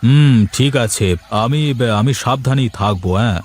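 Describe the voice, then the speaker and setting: A man's voice: medium pitch, moderate pace, natural voice. A man voicing an anxious, eager village farmer husband in a moral-story cartoon, hesitant and repeating his agreeable words.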